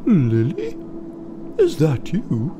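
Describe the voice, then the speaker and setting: A man's voice: fairly high pitch, huge pitch swings, very slow, noisy background, voice pushed up, full character voice. A man voicing a kindly old male badger in a storybook, with a theatrical, friendly manner. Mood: searching.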